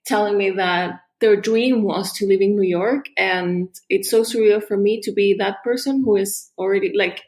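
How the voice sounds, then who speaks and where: A woman from Chile, a guest on a podcast, talking in an animated, casual way with restarts and frequent laughs. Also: medium pitch, moderate pace, hardly any pauses. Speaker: guest artist. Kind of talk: casual interview chat.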